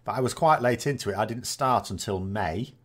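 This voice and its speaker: A man's voice: mid-range voice, fairly quick, husky. A man, chatting casually and rambling as a gaming host answering viewer questions, often correcting himself with dry self-mockery. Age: 40s.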